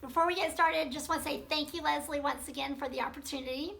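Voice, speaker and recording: A woman coach teaching leadership in an earnest, steady way, her voice gravelly from allergies and heavy with fry. Her tone is bright and forward, and she walks through her points in lists with the odd um. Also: high voice, fast. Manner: earnest, repeats points in lists, many ums. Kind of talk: recorded teaching talk.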